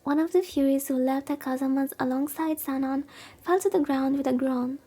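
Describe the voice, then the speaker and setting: A woman's voice: high-pitched, steady tone, moderate pace, dark tone. A woman VTuber reading a visual novel aloud in a soothing way and adding casual commentary. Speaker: VTuber streamer. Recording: background music. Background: music.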